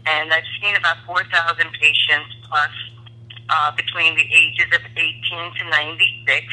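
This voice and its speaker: A woman's voice: low-pitched, flat delivery, normal speed, bright, forceful tone, low-quality recording. A woman, a physician calling in to a radio talk show and explaining her work earnestly over the phone. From India. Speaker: physician guest. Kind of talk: radio call-in interview. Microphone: phone line.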